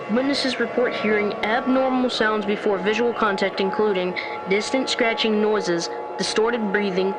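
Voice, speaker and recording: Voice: medium pitch, rough recording. A synthetic-sounding announcer narrating a scripted horror emergency bulletin in a formal, detached, clinical manner.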